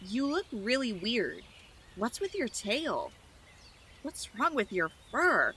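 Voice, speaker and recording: A woman's voice: on the high side, big pitch swings, slow, sharp tone, slight background noise, exaggerated voice. Woman as a snide, drawling young mouse. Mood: mocking. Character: snide, rude young female mouse, mocking and sulky. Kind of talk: audio drama acting. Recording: faint outdoor ambience with birdsong.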